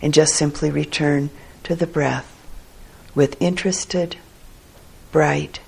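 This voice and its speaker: A woman meditation teacher guiding a sitting practice, speaking gently and unhurriedly in short phrases broken by long pauses. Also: low-pitched, steady tone, vocal fry, slightly rough, low-quality recording. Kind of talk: guided meditation instruction. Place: meditation hall.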